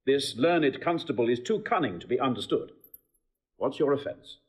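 An actor playing a commanding, quick-witted prince in classical Shakespearean audio drama. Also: male voice, mid-range voice, animated, a little mellow, breathy edge. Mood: wryly amused. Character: wry, commanding noble prince. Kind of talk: classical Shakespearean radio acting.

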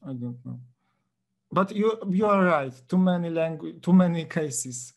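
A man from Poland with a Polish accent, a teacher hosting a video call chat in English, hesitant with many pauses. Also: fairly high pitch, animated, gravelly. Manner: hesitant, many uh fillers, friendly questioning. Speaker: host, teacher.

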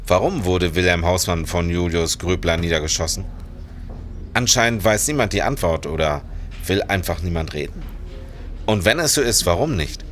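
A man's voice: low-pitched, expressive, moderate pace, fairly bright tone, a little hiss or hum. A man playing a hearty, persistent police constable with a wry, insistent manner in a historical radio play. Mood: probing.

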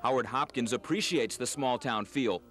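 A man's voice: medium pitch, moderate pace, over music. A man narrating a scripted feature story as a TV news reporter on 1980s field tape.

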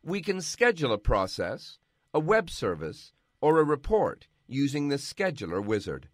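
A man giving scripted, measured narration for a software tutorial. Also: mid-range voice, big pitch swings, no echo, clean studio sound. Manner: measured, instructional.

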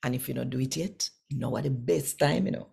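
An older woman with a Jamaican accent reading scripture in Patois in a measured, deliberate way. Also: rumbling low, vocal fry, warm tone, slightly rough. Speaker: Bible reader and presenter. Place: small home room, indoors.

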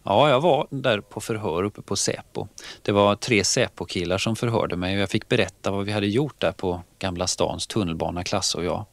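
A man's voice: on the low side, gravelly, few pauses, no echo. A man reading out a police officer's first-person account in a conversational way for a radio programme. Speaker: reader of a police officer's testimony. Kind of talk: read-out first-person testimony in conversational manner.

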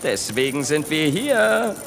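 High-voiced, dutiful worker creature. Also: male voice, takes it slowly, vocal fry, in a large hall, background noise, exaggerated voice. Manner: deadpan, dutiful, startup jargon. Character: earnest, overworked knitting creature spouting corporate jargon. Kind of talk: German comedy science fiction audio drama.

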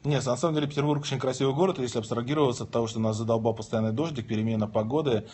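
A man's voice: medium pitch, fairly even intonation, rapid-fire, a little mellow, breathy edge. A man in his thirties lying in bed, chatting on a livestream and joking as he reads viewer questions aloud and answers them. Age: 30s. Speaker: livestream host. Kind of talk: casual livestream chat reading viewer questions. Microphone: phone held at arm's length.

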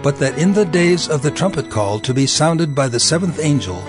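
A man giving solemn, deliberate dramatic narration of scripture. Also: a little high, animated. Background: loud music.

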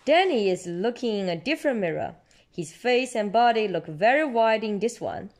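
A woman reading a children's storybook aloud in an expressive, encouraging way, as a parent does to a child. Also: on the high side, normal speed. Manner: expressive, encouraging, simple child-directed speech. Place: indoors at home, seated with book.

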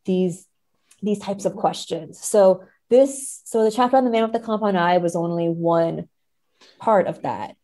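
A woman's voice: lowish voice, measured pace, mellow. A woman speaking as a scholarly interview guest, explaining ideas in a careful, hedging academic manner. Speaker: podcast guest, literary scholar. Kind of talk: thoughtful academic interview talk.

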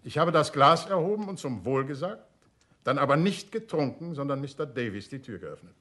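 An actor playing an urbane gentleman detective in a radio play, suave, polite and self-assured. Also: medium pitch, expressive, brisk, natural voice.

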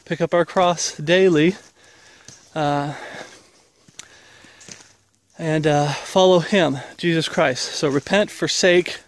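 A man's voice: on the high side, fairly even intonation, takes it slowly, long pauses. A man in his forties, a ministry leader, gives a rambling, earnest walk-and-talk update while walking outdoors on a wooded path, filmed on a handheld camera at arm's length. He is getting over an illness. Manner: casual, rambling, earnest preaching tone.

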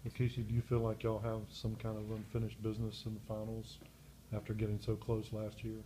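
A man's voice: on the low side, monotone, brisk, warm tone, a little hiss or hum, rough recording. A man reporter asking casual, chatty questions at a press conference.

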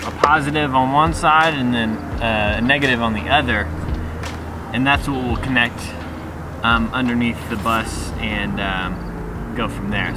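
A young man talking casually and a bit haltingly to camera, explaining a DIY job as a vlogger. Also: mid-range voice, background noise. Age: young adult. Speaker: DIY bus conversion vlogger.

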